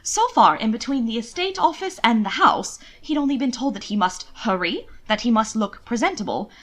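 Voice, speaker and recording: A woman reading scripted fiction as an audiobook-style narrator, with expressive storytelling delivery. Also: a little high, moderate pace. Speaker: podfic narrator.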